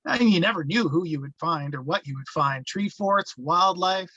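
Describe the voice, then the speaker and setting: A man reminiscing in a storyteller's narration. His voice is fairly high and notably bright, on a dry, dull recording. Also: lively intonation, normal speed, no echo. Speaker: storyteller recalling his youth.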